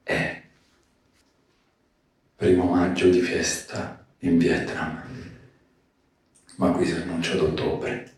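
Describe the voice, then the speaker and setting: A man with a deep voice gives a literary poetry reading for radio theatre. He takes his time, phrasing each line solemnly. The sound is heavily reverberant, with music behind him. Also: flat delivery, takes it slowly, long pauses. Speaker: poetry reader. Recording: very echoey, distant, music behind. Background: music bed.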